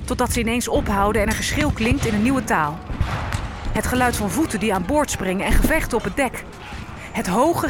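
A woman's voice: mid-range voice, lightly acted. An actress playing an enslaved African woman held captive on a ship, narrating her ordeal in an audio drama.

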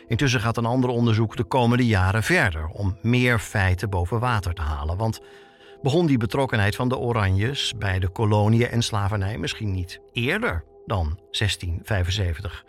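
A man's voice: fairly low pitch, moderate pace, soft background music. A man hosting a podcast with scripted documentary narration, told like a story. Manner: storytelling, with rhetorical questions. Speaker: podcast host and reporter.

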